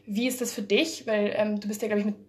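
A woman from Spain interviewing a guest, asking gentle, hesitant questions. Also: medium pitch, fairly even intonation, fast talker. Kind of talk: casual interview talk.

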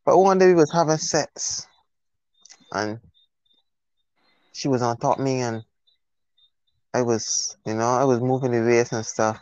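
A man's voice: medium pitch, measured pace, pauses often, darkish tone, clean tone, dry recording. A man from Barbados with a Barbadian accent, a guest telling stories in an easygoing, laid-back way. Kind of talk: casual podcast chat. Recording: dry, dull remote line.

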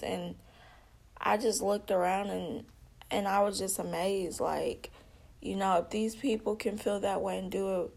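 A woman's voice: medium pitch, creaky, darkish tone. A woman giving an earnest personal testimony, speaking conversationally, calling her listeners y'all and repeating herself with small slips. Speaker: vlogger.

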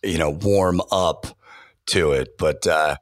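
An enthusiastic man talking shop in a podcast interview, his voice sitting extremely low. He stumbles through fillers and restarts as he goes. The sound is dry and close, with no room around it. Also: slow, no room sound. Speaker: voiceover artist and audio engineer. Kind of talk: casual interview talk.

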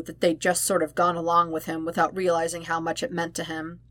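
A woman reading fan fiction aloud in an expressive audiobook style, with emphatic, dramatic phrasing. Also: on the low side, dry recording. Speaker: podfic narrator.

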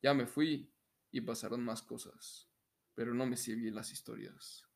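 A man's voice: medium pitch, fairly even intonation, a little slow, creaky, darkish tone. A young Mexican man with a mid-range voice co-hosts a podcast in a casual, comedic way. He is jokey, and laughter breaks into his talk easily. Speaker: podcast co-host. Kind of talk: casual comedic chat and story reading.

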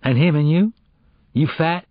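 A man voicing a blunt, street-smart teenage girl in an audiobook, slangy and challenging, quick with questions. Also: a little high, no echo, lo-fi sound, voice pushed up, natural voice. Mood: surprised.

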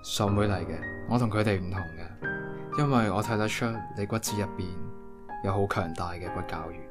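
A man's voice: on the low side, moderate pace, over music, lightly acted. A man with a Hong Kong accent playing a teasing older brother in a comedic radio drama, talking with mock seriousness. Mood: mock-romantic.

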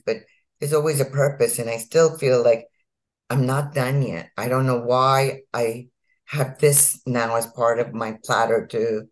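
A woman from India, a physician in her fifties or sixties, telling her life story in an interview with earnest emphasis, repeating key words. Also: a bass voice. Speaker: physician guest.

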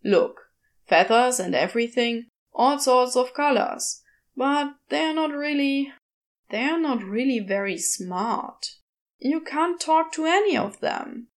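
A woman's voice: high voice, animated, takes it slowly. Storybook velociraptor demon, played by a woman, male, grumbling, sardonic, exasperated, rambling. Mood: dismissive. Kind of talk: storybook character voice in audio fiction.

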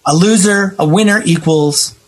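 An American man talking casually about politics in an emphatic, explanatory way, repeating phrases for stress, with a husky voice. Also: high voice, moderate pace.

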